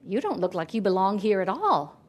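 A woman's voice: medium pitch, big pitch swings, a little fast. A woman voicing a cheerful, kindly young man on a journey, in a friendly, emphatic manner. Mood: friendly, curious. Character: cheerful, kindly, eager young male traveler.

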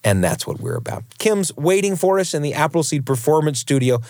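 A man hosting a storytelling radio show, delivering scripted introductions in an expressive, animated way. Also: a little high, a little fast, no room sound, clean studio sound. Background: faint music.

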